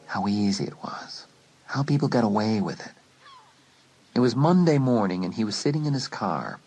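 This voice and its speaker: A man narrating a suspense story in a dramatic, scripted style, letting phrases drop to a hush. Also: lowish voice, poor audio. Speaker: audiobook narrator.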